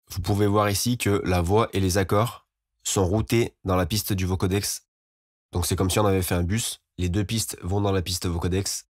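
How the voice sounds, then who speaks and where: A man giving an informal, explanatory software tutorial as a voice-over. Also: on the low side, dry recording, studio quality. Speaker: music production tutorial host.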